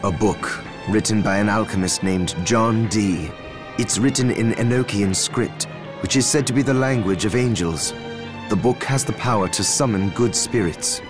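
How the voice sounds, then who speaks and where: A man's voice: lowish voice, normal speed, lightly acted. A man playing an aloof, learned alchemist in a video game, speaking in a curt, formal, cool manner. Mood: cool, explanatory. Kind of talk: video game character acting. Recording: music behind the voice.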